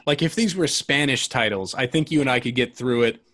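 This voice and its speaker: A man's voice: medium pitch, fairly quick, fairly bright tone. A man hosting a podcast about albums, chatting casually and enthusiastically, often restarting his sentences. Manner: rambling, self-correcting, enthusiastic. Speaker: podcast host.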